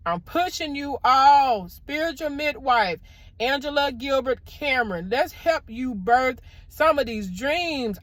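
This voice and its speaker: Loud woman, motivational vlogger. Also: no echo. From American South. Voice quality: loud deep speaking voice. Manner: warm preacherly encouragement, addresses viewers as y'all. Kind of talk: casual motivational talk to camera. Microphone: clip-on microphone.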